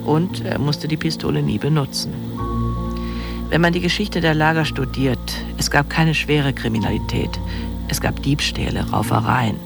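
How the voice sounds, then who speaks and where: A man's voice: a little high, steady tone, normal speed, gravelly. A man explaining local history analytically in a documentary interview. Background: music bed.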